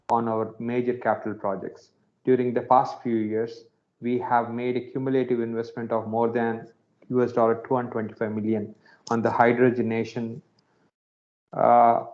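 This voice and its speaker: Formal male executive on a muffled call. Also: medium pitch. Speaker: senior company executive giving closing remarks. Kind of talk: scripted business remarks on an earnings call. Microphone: conference call line. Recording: dull, lo-fi conference call audio.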